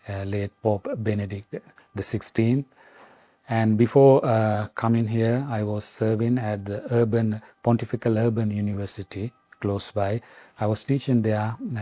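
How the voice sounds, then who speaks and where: A middle-aged man from Sri Lanka speaks in a lowish, mellow voice. He answers reflectively, pausing often with fillers and restarting sentences. The sound is close and dry. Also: little pitch movement, no echo. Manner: halting, many fillers and restarts. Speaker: Vatican official interviewed.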